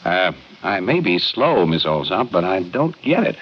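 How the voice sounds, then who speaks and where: An actor playing a methodical, probing homicide inspector in a vintage radio crime drama, questioning deliberately with hesitant pauses. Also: male voice, sits low, sing-song. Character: probing, methodical middle-aged male police homicide inspector. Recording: dull, noisy old radio recording.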